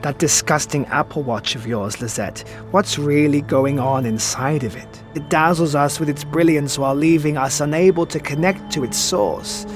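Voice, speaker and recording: An actor playing a suave, sardonic middle-aged master thief and mentor in an audio drama, with florid, theatrical phrasing. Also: male voice, fairly high pitch, moderate pace, few pauses, lightly acted. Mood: wistful, musing. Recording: music behind the voice.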